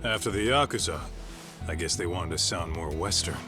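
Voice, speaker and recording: A man playing a gruff, blunt ex-yakuza tough guy. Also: sits low, moderate pace, natural voice. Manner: blunt, streetwise, dismissive. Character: gruff tough male ex-yakuza in his thirties. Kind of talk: video game voice acting. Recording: music playing behind. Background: music.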